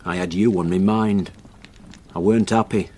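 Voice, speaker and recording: An actor playing a gruff, kindly, protective local man in a radio drama, blunt and reassuring. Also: low voice, steady tone, moderate pace, darkish tone. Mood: gruff concern. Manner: blunt, reassuring, plain-spoken.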